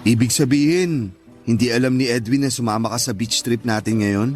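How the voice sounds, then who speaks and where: A man acts out a sympathetic Filipino friend in a radio drama. He is gentle and flattering, with an average-pitched male voice. Quiet music runs underneath. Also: brisk, natural voice. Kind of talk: conversational radio drama acting. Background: background music.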